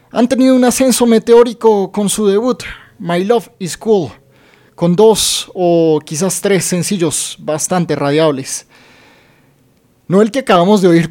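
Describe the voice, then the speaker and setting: A man's voice: sits high, measured pace, mellow. A man presenting a music radio show as its host.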